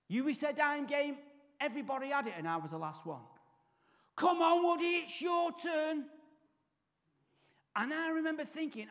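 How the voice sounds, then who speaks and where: A man's voice: very high-pitched, a bit bright. A man in his fifties with a Mancunian accent, giving his testimony at a church service, storytelling with comic asides and acted-out voices. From Salford, Manchester. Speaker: guest speaker. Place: large church hall, stage. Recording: reverberant church hall.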